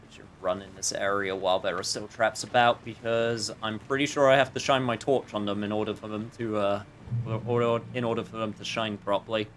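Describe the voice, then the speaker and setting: A man giving casual, rambling, jokey live commentary while he plays a video game, with animated outbursts. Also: on the low side, brisk, a little hiss or hum. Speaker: streamer playing a video game.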